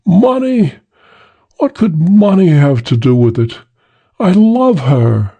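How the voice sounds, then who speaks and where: A man's voice: high-pitched, very animated, slow pace, mellow, husky, lo-fi sound, voice pushed up, full character voice. A man voicing an earnest, overwrought young poet in love, pleading emotionally, in an audiobook. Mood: anguished, insistent. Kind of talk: audiobook character acting.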